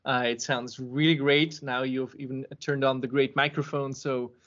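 A man, hosting a podcast interview over a video call and pausing often with ums and restarts. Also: medium pitch, flat delivery, fairly bright tone. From Serbia. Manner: hesitant, many ums and restarts. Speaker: podcast host. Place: home room with bookshelf. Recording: muffled video-call audio.

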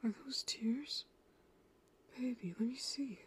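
A woman voicing a gentle, caring teenage boy in an anime audio roleplay, soothing a partner in a breathy voice that often drops to a whisper. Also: on the high side, full character voice. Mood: tender concern. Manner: soothing, tender, slow.

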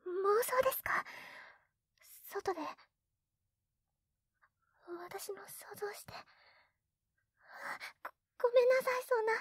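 Woman acting a very high-pitched, doting young wife. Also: no room sound. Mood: flustered, tearful, then sheepish, hushed. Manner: hesitant, soft, breathy, clingy. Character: sweet, tipsy, jealous young wife doting on her husband. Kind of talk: binaural ASMR roleplay, intimate. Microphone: close binaural dummy-head microphone.

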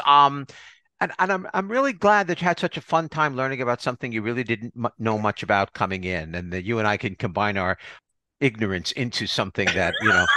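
A man's voice: mid-range voice, sharp tone, dry recording. An older man hosting an arts podcast, moving between interview conversation and scripted announcements. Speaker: podcast host.

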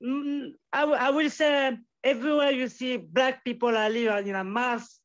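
Man, halting English, dull audio. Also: very high voice, steady tone. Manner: halting, searching for English words, Jamaican turns of phrase. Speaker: guest musician. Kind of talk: casual conversational interview.

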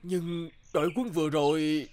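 A man's voice: on the high side, fairly even intonation, moderate pace, a little mellow, slight background noise, natural voice. A fat, haughty middle-aged king acted by a man who blusters with great emotion. The delivery is pompous cartoon theatre. Music plays behind the voice. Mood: shocked. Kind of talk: cartoon voice acting. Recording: music under the voice.

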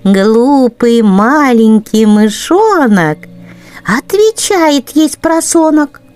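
Woman reading a kids rhyming tale, slow n very lively, soft music behind. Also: fairly high pitch, smooth. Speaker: storyteller. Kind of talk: expressive children's verse narration.

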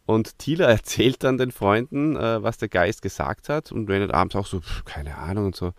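Low-pitched man hosting, dry close sound. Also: normal speed, dry recording. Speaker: podcast host. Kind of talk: casual chat, reviewing an audio drama.

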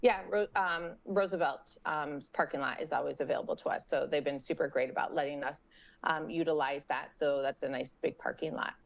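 A middle-aged woman, a local parks and recreation organizer, talking on a video call from home, friendly and often pausing with fillers. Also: sits low, vocal fry. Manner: friendly, with frequent fillers and restarts. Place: home room with window blinds. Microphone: webcam or laptop mic on a video call.

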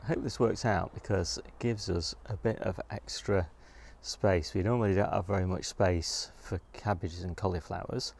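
A man's voice: deep voice, steady tone, normal speed, few pauses, a little mellow. A middle-aged man giving a casual, unscripted garden tour in an understated way, musing aloud as he walks outdoors. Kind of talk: casual unscripted vlog narration while walking. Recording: clear outdoor background noise.

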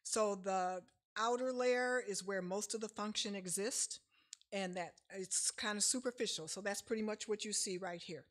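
A woman's voice: medium pitch, normal speed. A middle-aged woman giving a casual health seminar at a church lectern, explaining in a chatty way with frequent fillers, picked up from a distance. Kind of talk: casual lecture with slides. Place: church sanctuary, speaking at a lectern.

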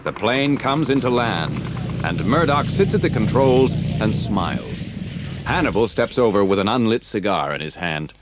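A man's voice: fairly low pitch, big pitch swings, moderate pace, very noisy. A man narrating a scripted children's adventure story as its storyteller. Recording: old story cassette, music underneath. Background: music.

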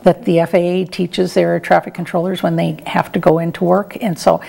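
Middle aged lady getting interviewed, lowish creaky voice, explains things plainly, kinda halting. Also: flat delivery, dark tone. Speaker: interview guest, retired air traffic controller and professor. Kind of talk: conversational interview answers.

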